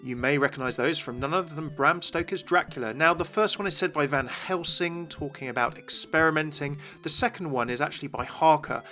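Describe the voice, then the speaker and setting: A man's voice: on the high side, brisk, few pauses, bright, forceful tone, faint music behind. A man hosting a scripted game lore explainer, addressing viewers directly and with enthusiasm. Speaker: video host, game lore commentator.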